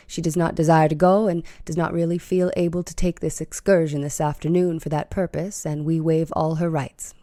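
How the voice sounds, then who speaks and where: Female actor as defense lawyer, low mellow voice, formal, courteous, firm, period courtroom drama. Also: no echo, clean studio sound, lightly acted. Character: courteous, firm defense counsel in 1893 trial.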